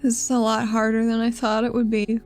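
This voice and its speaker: A woman's voice: a little high, completely flat delivery, a little fast, a little mellow, soft background music. A woman playing a tabletop roleplaying game, casually improvising and describing what her character does.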